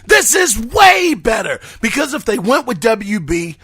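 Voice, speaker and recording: A hoarse man hosting a podcast, shouting often. His voice sits very high for a man, bright and cutting. He speaks slowly and draws his words out. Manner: excitable, shouting. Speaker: podcast host. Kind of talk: casual podcast monologue.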